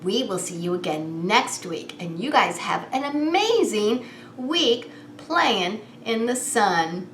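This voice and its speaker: A woman hosting story time as a preschool teacher, reading in a sing-song, animated way and coaxing small children with questions. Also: mid-range voice, slow pace. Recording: roomy echo with some background noise.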